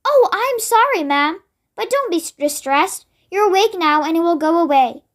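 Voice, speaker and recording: Storybook part: a nervous, deferential old maid, very high, read by a child. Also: animated, moderate pace, fairly bright tone. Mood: polite. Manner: deferential, says ma'am constantly. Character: oldish female royal maid, nervous and deferential.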